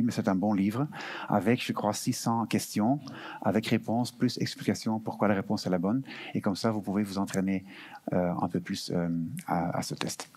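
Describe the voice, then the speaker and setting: Low-voiced man, recruitment office speaker at a Q&A, hesitant, lots of fillers, mixes in English terms. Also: darkish tone, slightly rough. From Belgium. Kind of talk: answering questions at an info session.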